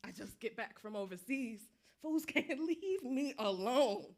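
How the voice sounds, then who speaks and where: A woman's voice: a little high, expressive, measured pace, darkish tone. Woman playing a restless, taunting soldier ghost. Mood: defiant. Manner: taunting, insistent, vernacular speech. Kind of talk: staged reading of a stage drama.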